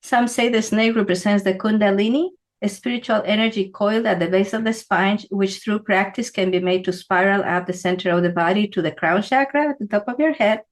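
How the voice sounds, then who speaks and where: A woman from Ecuador gives a relaxed, conversational lesson. She explains things at an unhurried, talky pace and keeps checking in with a quick "right?". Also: medium pitch. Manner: conversational, asks "right?" often. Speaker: feng shui teacher. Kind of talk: explanatory talk to camera.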